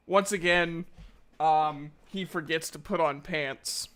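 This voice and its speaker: A man with a crisp, bright voice that sits a little high. He narrates a tabletop game off the cuff, joking and talking in loose, colloquial phrases. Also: slow pace. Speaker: tabletop RPG player. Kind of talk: casual improvised roleplay narration.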